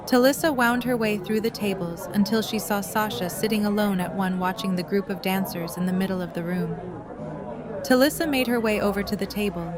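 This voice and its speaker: A woman's voice: medium pitch, fairly quick. A woman reading scripted story narration as the narrator of an audio drama. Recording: music and crowd chatter behind.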